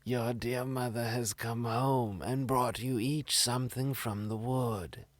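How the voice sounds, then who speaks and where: A man voicing a cunning, menacing wolf from a fairy tale, hoarse and drawn-out in his delivery. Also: medium pitch, steady tone, no echo, close to an everyday voice. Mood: coaxing. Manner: slow, drawn-out, threatening delivery. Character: menacing, cunning big bad wolf coaxing his prey.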